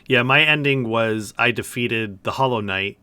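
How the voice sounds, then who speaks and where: A man's voice: mid-range voice, moderate pace, pushed and bright, clear, resonant tone, no echo. A man hosting a podcast, chatting informally and conversationally about video games. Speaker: podcast host. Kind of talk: casual video game discussion.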